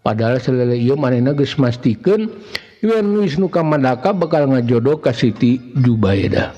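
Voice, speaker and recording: A man's voice: medium pitch, expressive, normal speed, warm tone. A man with a Sundanese accent narrating a folk tale as a storyteller. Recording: long reverb, dull muffled sound. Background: faint music.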